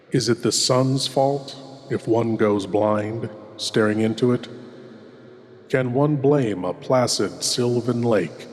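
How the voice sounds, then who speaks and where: A man performing as a courtly, sinister horror host, speaking theatrically with deliberate pauses. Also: fairly low pitch. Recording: long reverb over music.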